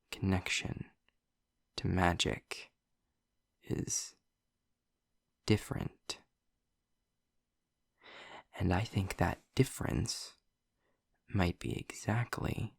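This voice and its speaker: A man playing a sarcastic, articulate demon in an audio roleplay, with a gravelly, breathy voice and wry, formal delivery. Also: low-pitched, dry recording, studio quality, full character voice. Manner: wry, formal wording, deliberate pauses. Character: sarcastic, snarky male demon, articulate and testy. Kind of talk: scripted audio roleplay monologue addressed to the listener.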